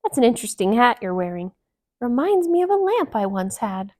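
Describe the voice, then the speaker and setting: A woman voicing a wise, friendly female woodchuck in a children's storybook, calm and advising. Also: on the high side, brisk.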